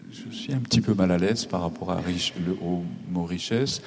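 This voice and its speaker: A man whose first language is Japanese, a novelist speaking on a panel in a halting, reflective way with many pauses. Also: low-pitched, darkish tone. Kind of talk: panel discussion.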